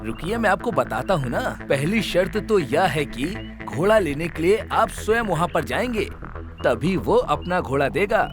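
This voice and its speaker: A man voicing a clever, witty royal courtier in an animated story, playful and persuasive. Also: on the high side, moderate pace. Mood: teasing. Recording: background music under voice.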